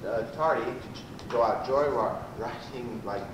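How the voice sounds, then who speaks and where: A man playing a pompous, badgering prosecutor in an amateur radio comedy, with a stumbling, halting delivery. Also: medium pitch, natural voice. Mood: probing. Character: pompous, badgering male prosecuting lawyer in sci-fi parody. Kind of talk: amateur old-time radio comedy acting. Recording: roomy, distant, noisy amateur live recording.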